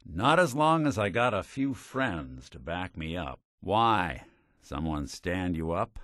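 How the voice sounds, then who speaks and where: An actor playing a world-weary, wry robot private detective in a video game, with a clipped hard-boiled gumshoe delivery. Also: male voice, low-pitched, very animated, slow, a bit bright, no echo, studio quality, full character voice. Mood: wry, steady. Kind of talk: scripted game character voice lines.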